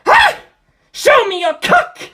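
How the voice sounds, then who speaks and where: A woman voicing a crude, violent male bully in a storybook reading, with shrill, strained yelling. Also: huge pitch swings, slow pace, full character voice. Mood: disgusted shrieking. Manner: yelling, foul-mouthed outbursts.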